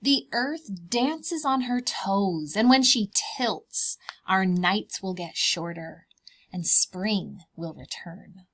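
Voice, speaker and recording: Woman as a slow, soothing mama bear. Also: medium pitch, lively intonation, a bit bright, full character voice. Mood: gentle reassurance. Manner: soothing, patient, unhurried. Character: gentle, reassuring mother polar bear.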